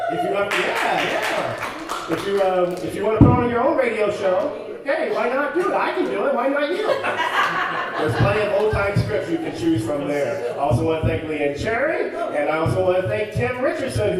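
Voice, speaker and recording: Male live-show host, folksy announcer patter, recorded from a distance with music and loud audience noise around him. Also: high voice. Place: community hall, live before an audience. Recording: echoey hall from far off, music and audience noise.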